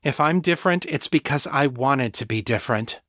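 A proud, headstrong woman in an audiobook story, put on by a man. She is blunt and defensive, hitting her words emphatically with big pitch swings, recorded close and dry. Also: expressive, normal speed, fairly bright tone, no room sound, close to an everyday voice. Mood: defiant, angry.

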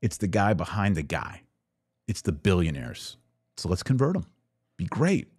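A middle-aged American man with a deep voice talks as a livestream host answering his audience. His delivery is casual yet emphatic and opinionated, built on short phrases that he likes to repeat. The sound is dry and close, with very little room around it. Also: sing-song, normal speed, a little mellow, slightly rough, no room sound. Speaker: podcast host taking live audience questions. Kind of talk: casual livestream monologue, reading and answering comments.

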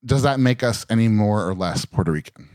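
Low-voiced man hosting a chat. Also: fairly quick, no room sound, studio quality. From Westchester County, New York. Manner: relaxed, chatty, asks questions. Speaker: podcast host. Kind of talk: casual group conversation. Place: indoor room.

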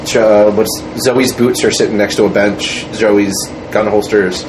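A man's voice: lowish voice, steady tone, unhurried. A man being interviewed as an independent filmmaker, chatting casually in a group. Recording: noisy room with air-conditioning hum.

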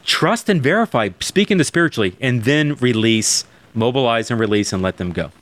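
A man's voice: medium pitch, animated, a little fast, fairly bright tone. A man in his forties, talking in an emphatic, preacherly way and turning to address listeners directly in a podcast conversation. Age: 40s. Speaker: church planting guest.

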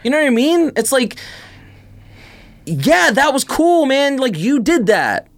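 Woman chatting casually with lively pitch. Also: unhurried. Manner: informal, slangy. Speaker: podcast co-host. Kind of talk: casual sneaker podcast chat.

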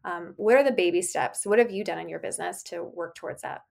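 A woman's voice: on the low side, fast, gravelly, a little mellow. A woman co-hosting a podcast in casual chat, asking questions and laughing easily. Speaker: podcast co-host.

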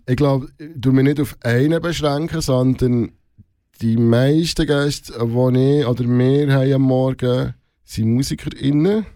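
A middle-aged man with a Swiss German accent, talking casually and reflectively in an interview, a little halting and informal. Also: mid-range voice, steady tone, dark tone, no room sound, studio quality. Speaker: radio show host being interviewed.

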